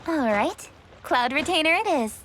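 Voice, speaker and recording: A woman's voice: high voice, animated, normal speed, a bit bright, a little hiss or hum. A woman voicing a diligent, composed young lady official with formal courtesy in a video game. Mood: earnest. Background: game music.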